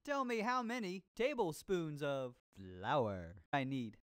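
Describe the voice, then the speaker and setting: A groovy seventies disco cooking show host for a cartoon game. He is hammy and upbeat, stretching his words slowly and swinging through wide pitch swoops. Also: expressive, fairly bright tone. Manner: hammy, swinging, drawn-out delivery. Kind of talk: cartoon game voice-over with 1970s disco slang.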